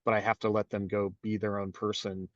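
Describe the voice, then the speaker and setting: A man's voice: on the low side, level tone, a little fast, dry recording, dull audio. A man co-hosting a podcast, talking in a thoughtful, earnest way. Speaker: podcast co-host. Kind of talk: casual chat.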